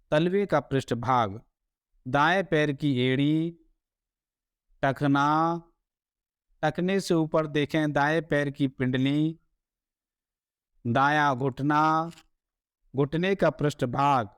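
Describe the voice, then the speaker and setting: A man giving soothing, deliberate guided meditation instructions as a yoga nidra teacher. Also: on the high side, smooth, no echo.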